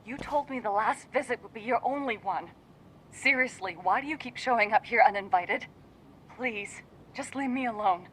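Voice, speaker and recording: A woman's voice: on the high side, normal speed, fairly bright tone, a little hiss or hum, lightly acted, rough recording. Curt, guarded woman, voiced by an actress. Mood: annoyed, guarded. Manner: curt, defensive, dismissive. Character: guarded, irritated housewife wary of visitors. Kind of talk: English-dubbed video game cutscene acting.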